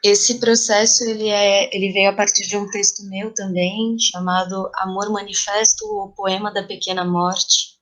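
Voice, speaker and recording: A Brazilian woman speaking reflectively as an interview guest, pausing and repeating phrases as she thinks. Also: mid-range voice, flat delivery, fairly bright tone, low-quality recording. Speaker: playwright and theatre artist, podcast guest.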